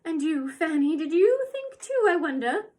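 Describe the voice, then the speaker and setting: A woman voicing a tiny, bossy old woman who runs a shop, with a shrill, squeaky voice that turns from scolding to sweetly coaxing. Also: big pitch swings, normal speed, darkish tone. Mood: sharp.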